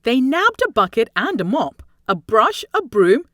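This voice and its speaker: A woman reading a rhyming children's story aloud in an expressive, theatrical way with deliberate phrasing and dramatic emphasis. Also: fairly high pitch, no echo, clean studio sound. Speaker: storybook reader.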